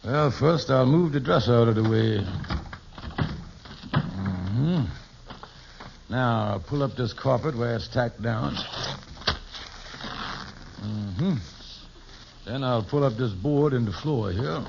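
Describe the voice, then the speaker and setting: An actor playing a scheming, hen-pecked husband in an old radio sitcom, with a wheedling, stammering, theatrical delivery. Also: male voice, mid-range voice, slow pace, creaky, long pauses. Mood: conspiratorial. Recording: noisy, dull old radio recording.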